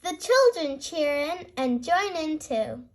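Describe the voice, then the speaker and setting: A high-voiced woman reads a children's picture book aloud. Her delivery is slow and expressive, with a lively melody that swings up and down for a very young audience. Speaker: storyteller, channel host.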